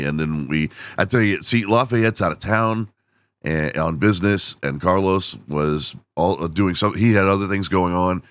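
A man's voice: sits low, fairly bright tone, no echo. A man hosting a casual solo podcast, rambling and self-deprecating with frequent laughs, and losing his voice from overuse. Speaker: podcast host.

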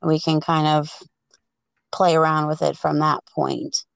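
A woman podcast host speaks at a slow, unhurried pace in a dry, close recording. She chats casually and makes up a story as she goes, halting between phrases. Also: deep voice, little pitch movement, creaky, no room sound. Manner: halting, pausing between phrases when improvising. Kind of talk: casual chat and improvised storytelling.

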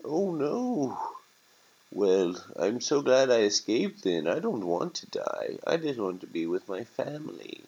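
A man's voice: mid-range voice, very animated, measured pace, a little mellow, breathy edge, voice pushed up, exaggerated voice. Lost young-hearted turkey for an animal tale, male performer: frightened, naive, plaintive, on a slightly dull recording. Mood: frightened, dismayed. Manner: hesitant, plaintive.

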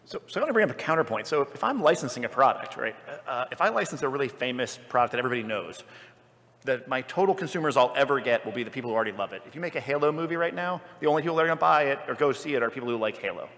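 A man's voice: medium pitch, animated, rapid-fire, a bit bright. A man on a live panel, arguing his points casually in an analytical, explanatory way. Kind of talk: casual argumentative panel discussion. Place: convention hall, live stage panel. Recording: live panel in a reverberant hall.

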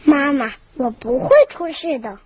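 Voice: sits high, a little slow, darkish tone, slightly rough, full character voice. An actor playing a polite, devoted young crow child in a children's radio drama. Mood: happy, caring. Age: child figure. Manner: polite, earnest, eager.

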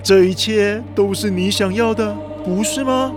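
An actor playing a sly, mocking, scheming villain in a radio drama. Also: male voice, high-pitched, measured pace, music in the background. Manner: drawling, mocking, sneering. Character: sly, cackling, scheming villain who taunts and blackmails.

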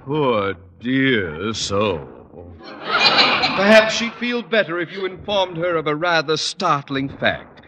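Pompous, blustering school principal. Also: male voice, fairly high pitch, very animated, slow, a crowd in the background. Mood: sly sarcasm. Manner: pompous, florid, sarcastic. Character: blustering, pompous middle-aged male high school principal. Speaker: comedy actor. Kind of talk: old-time radio sitcom acting. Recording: noisy old radio recording.

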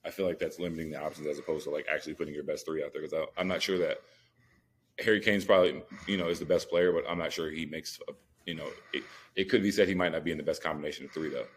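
A man's voice: extremely low pitch, fairly even intonation, rapid-fire, husky. A man co-hosting a podcast, arguing sports opinions in a casual, slangy way, with some noise on the recording. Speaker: podcast co-host.

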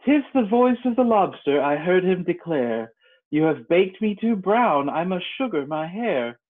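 A man's voice: high voice, sing-song, unhurried, voice pushed up, full character voice. A man voicing Alice, a polite, curious young girl in a storybook reading, light and wondering. The sound is thin and tinny. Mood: reciting. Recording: tinny phone-like lo-fi audio.

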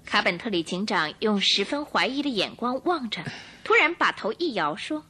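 A woman narrating a radio drama in an expressive, suspenseful storytelling manner. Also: medium pitch, moderate pace.

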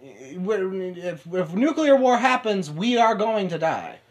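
A young man from upstate New York co-hosting a podcast, talking excitably, laughing often and swearing. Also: high voice, slow. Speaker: podcast co-host. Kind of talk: casual chat.

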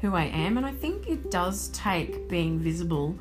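A woman's voice: fairly low pitch, big pitch swings, normal speed, music in the background. An Australian woman co-hosting a podcast in casual chat, speaking in an enthusiastic, emphatic way. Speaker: podcast co-host.

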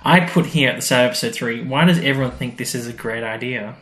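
A man's voice: medium pitch, fast talker, a bit bright, reverberant. A man co-hosting a podcast, casually recapping a story and acting out people's reactions as he retells it. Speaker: podcast co-host. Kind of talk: casual episode recap chat.

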